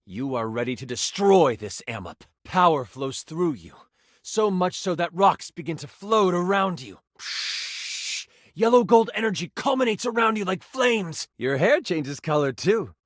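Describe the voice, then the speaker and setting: A man's voice: sits high, a bit bright, no echo, studio quality, exaggerated voice. A man playing a game master character, narrating a fantasy tabletop adventure theatrically, with conversational asides. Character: animated personified twenty-sided die running a fantasy game as game master.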